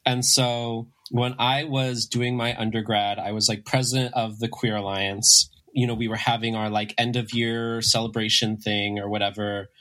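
A man with a raspy, slightly under-the-weather voice, telling stories casually as a guest, with lots of filler "like" and quoted dialogue. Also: medium pitch, moderate pace, few pauses. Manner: animated storyteller with frequent "like" and quoting. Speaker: guest, drag performer. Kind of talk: casual conversational interview chat.

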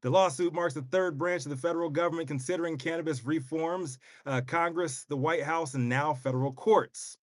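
A man's voice: a little high, brisk, few pauses, dry recording, studio quality. A man co-hosting a talk show, reading a news story with wry asides and casual slang. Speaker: co-host, news segment presenter.

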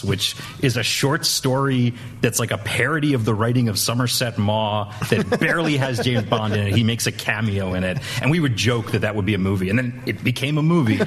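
A man's voice: mid-range voice, a little hiss or hum. A man on a discussion panel, telling anecdotes in a casual, slightly hesitant, wry way. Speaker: panelist on a movie news show.

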